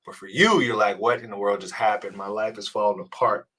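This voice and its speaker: Man, somewhat low voice, congested with a cold, dating coach, casual live-stream talk, earnest, repeats words. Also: steady tone, a little fast, pushed and bright, breathy edge. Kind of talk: live-stream advice chat reading comments.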